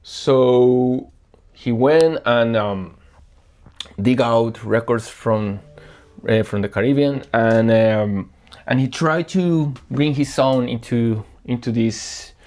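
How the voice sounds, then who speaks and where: A man from Colombia, a guest record selector chatting casually and earnestly about records, often pausing to search for words. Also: mid-range voice, a little mellow. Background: faint music playing.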